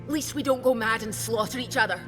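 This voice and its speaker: A woman's voice: fairly high pitch, fast, close to an everyday voice. A woman playing a defiant, sardonic smuggler held prisoner in a video game, talking bluntly and scornfully. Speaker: game character. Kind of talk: video game dialogue acting. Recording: orchestral game music behind the voice. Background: loud music.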